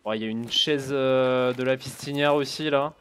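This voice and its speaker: Man, gaming commentary, reads on-screen text aloud, jokey, soft background music. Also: mid-range voice, steady tone, moderate pace, clean tone. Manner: joking, reads in-game text aloud, laughs often. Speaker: gaming streamer. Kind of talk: casual let's-play commentary.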